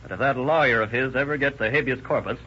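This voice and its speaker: A man's voice: mid-range voice, fast, pushed and bright, slight background noise, close to an everyday voice. A man acting a plainspoken, skeptical deputy sheriff in an old radio drama, with the dull sound of an old radio recording. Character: doubtful male deputy sheriff.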